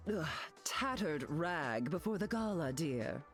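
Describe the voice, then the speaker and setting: A woman's voice: sits low, lively intonation, slow, soft background music. A woman voicing a vain, glamorous telepathic queen in a video game, sardonic and teasing. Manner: sardonic, teasing, self-admiring.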